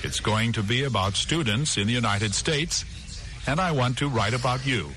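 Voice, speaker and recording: A man acting a friendly news reporter in a scripted lesson dialogue, speaking clearly and patiently. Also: medium pitch, expressive, normal speed, sharp tone.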